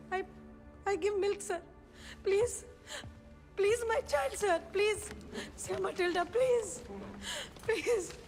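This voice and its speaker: An actress playing a desperate mother who pleads in broken English in a courtroom, repeating herself. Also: high-pitched. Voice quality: broken English, repetitive sobbing pleas. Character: desperate Indian mother pleading for her children. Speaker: defendant mother. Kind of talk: film courtroom drama. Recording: echoey courtroom hall.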